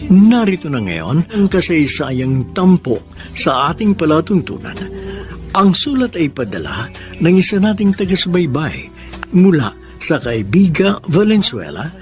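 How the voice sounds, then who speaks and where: Filipino man, radio drama host, fairly high voice, very expressive swings, florid romantic delivery, music behind. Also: unhurried. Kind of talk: theatrical scripted narration. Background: soft music.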